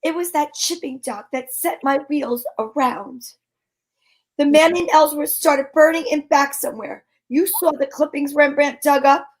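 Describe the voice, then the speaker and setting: High-voiced wisecracking lady detective played by a woman. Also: measured pace, low-quality recording. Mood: wry, explaining. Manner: breezy, slangy. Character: wisecracking, sharp-witted female private detective. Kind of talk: old-time radio detective drama acting.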